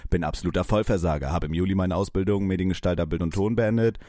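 A man in his twenties from Kiel co-hosting a casual podcast, joking and mock-indignant in quick banter. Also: low voice, warm tone. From Kiel, northern Germany. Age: 20s. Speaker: podcast co-host, streamer. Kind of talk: casual chat.

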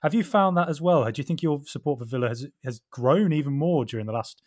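A man hosting a podcast, asking questions in a measured, casual way. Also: a little high, lively intonation, a little mellow, no room sound, studio quality. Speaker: podcast host.

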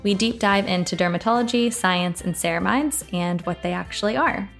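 A woman's voice: medium pitch, normal speed, soft background music. Upbeat American woman hosting. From United States. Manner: upbeat and friendly, leading questions. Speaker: podcast host. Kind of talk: interview host chat.

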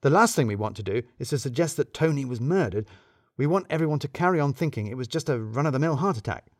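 A man's voice: medium pitch, lively intonation, fast talker, dry recording, clean studio sound, voice pushed up, natural voice. A man voicing a fretful, obsessive office worker turned amateur detective, explaining things emphatically in an audio story. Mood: scheming, insistent.

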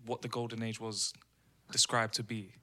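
A man's voice: fairly low pitch, flat delivery, normal speed, pushed and bright. A man co-hosting a podcast, asking eager, slangy questions in casual chat. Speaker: podcast co-host. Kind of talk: casual conversation.

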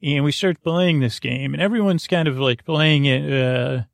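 A man hosting a podcast with a creaky, gravelly voice, improvising a hesitant, meandering bedtime monologue full of tangents, close on the mic. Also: a little high, normal speed, dry recording. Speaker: podcast host.

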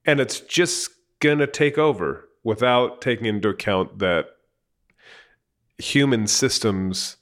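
A man's voice: mid-range voice, slow, fairly bright tone. A man in his forties hosting an interview, asking long, casual questions full of fillers and restarts. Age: 40s. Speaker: podcast host. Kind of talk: conversational interview.

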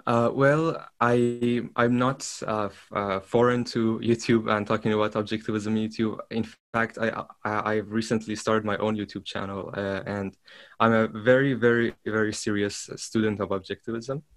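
Halting low-voiced man. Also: flat delivery. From Iran. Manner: halting, searching for words, many restarts. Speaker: podcast guest. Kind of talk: casual interview talk.

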